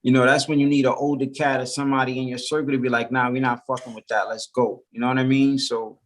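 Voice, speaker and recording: A man's voice: medium pitch, flat delivery, quick pace. A man, a rapper guest from Brooklyn talking loosely in a casual interview, full of fillers. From Brooklyn, New York. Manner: laid-back, loose, full of "you know".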